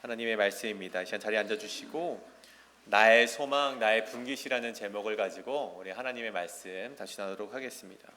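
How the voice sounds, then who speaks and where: A man's voice: fairly low pitch, a little fast, bright, forceful tone, a little hiss or hum. A man in his thirties from South Korea, a pastor giving a sermon with personal storytelling in a church. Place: large church sanctuary. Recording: echoing church hall with long reverb.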